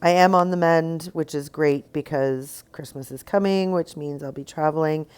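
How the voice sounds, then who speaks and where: Stuffy, low-voiced woman with a cold. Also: normal speed, a little mellow. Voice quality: slightly raspy. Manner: rambling, many ums and uhs. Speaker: rock painting tutorial host. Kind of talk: casual painting tutorial narration.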